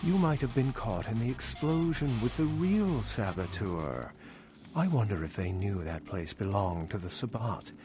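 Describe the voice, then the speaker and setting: An actor voicing a suave, learned vampire scholar in a video game, speaking in polished, wry, formal phrases. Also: male voice, fairly low pitch, animated, unhurried. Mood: wry, knowing. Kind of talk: video game character dialogue.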